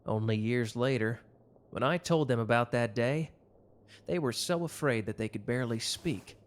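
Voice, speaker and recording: Man playing protective mafia man, earnest, intimate romance roleplay, devoted, gruff edge, medium-pitched voice. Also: moderate pace, natural voice. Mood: tender, reflective. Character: protective, devoted mafia man, hot-tempered young lover.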